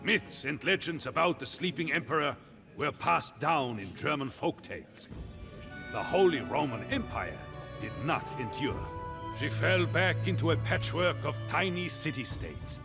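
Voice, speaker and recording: Over loud music, an old male chronicler telling a medieval saga for a strategy game. His voice is gravelly and sits fairly high for a man. He phrases everything theatrically and with measured emphasis. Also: animated. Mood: solemn, proud. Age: older. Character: old man, dramatic storyteller of a medieval emperor's rise and fall. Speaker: narrator. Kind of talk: scripted dramatic storytelling narration for a game cutscene.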